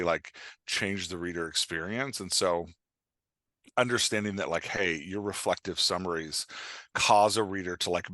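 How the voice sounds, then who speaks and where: Bright-voiced, low-pitched man explaining casually. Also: no echo, clean studio sound. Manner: informal, rambling, acts out imagined reactions. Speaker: podcast guest, writing coach. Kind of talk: casual explanatory interview talk.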